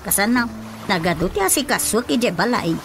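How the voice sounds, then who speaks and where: A woman's voice: mid-range voice, very animated, normal speed. An actress with an Ilocano accent playing a curious, chatty neighbour woman in a comic radio drama. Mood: friendly. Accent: Filipino, Ilocano. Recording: radio drama with music underneath.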